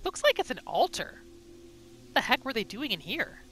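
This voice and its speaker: A woman giving casual, excitable role-play commentary as a gaming video host, often repeating her phrases. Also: fairly high pitch, moderate pace, a little hiss or hum. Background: game music and sound effects.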